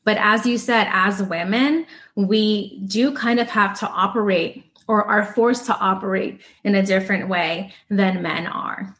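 A woman hosting an interview, asking measured questions and encouraging her guest. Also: mid-range voice, a bit bright. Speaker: podcast host. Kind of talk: interview conversation.

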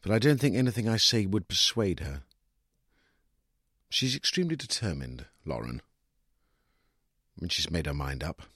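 A British man reading a literary short story in an expressive, wry first-person storytelling manner, with a husky voice. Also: low-pitched, normal speed, long pauses, no echo, studio quality. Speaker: story reader.

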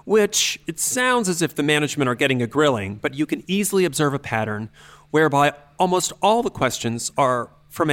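A man speaking as an expert podcast host, explaining things in an articulate, analytical and measured way. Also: mid-range voice, fairly bright tone.

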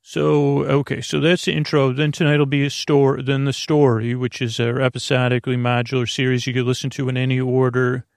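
A man's voice: mid-range voice, level tone, fairly quick, vocal fry, hardly any pauses, no room sound, clean studio sound. A man hosting a bedtime podcast, rambling and meandering with lots of fillers and restarts, amused by his own tangents. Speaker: podcast host.

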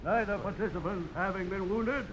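Commanding duel official, high-pitched, bright, formal projected calls, vintage radio drama. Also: male voice, moderate pace. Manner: loud, formal calling out. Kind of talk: 1950s radio drama acting. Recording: noisy old radio broadcast.

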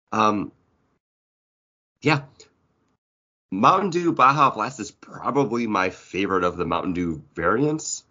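Male co-host, lower register, jokey and mock-outraged, conversational, muffled lo-fi recording. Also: bright, forceful tone. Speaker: podcast co-host. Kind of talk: casual banter.

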